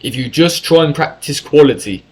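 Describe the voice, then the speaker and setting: A man's voice: on the high side, animated, moderate pace. A young man from England telling a story in a casual monologue, talkative and full of swearing and self-corrections. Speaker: YouTuber.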